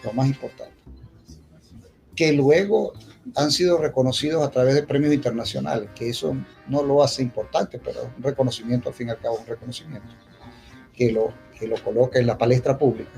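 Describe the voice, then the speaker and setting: Creaky-voiced Venezuelan man speaking publicly. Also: medium pitch, darkish tone, soft background music, poor audio. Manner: halting, repetitive, with emphatic restatements. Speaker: poet speaking at a poetry festival. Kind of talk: unscripted public talk, reminiscing.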